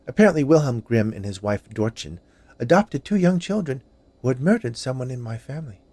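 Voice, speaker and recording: A man's voice: mid-range voice, lively intonation, a little fast, a little mellow, no echo, pitched above the performer's own voice. A man voicing a century-old witch confectioner in a story reading, gushing and theatrical with a vengeful streak. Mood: chatty, fanciful. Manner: gushing, theatrical, overly polite. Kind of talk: dramatized short story reading.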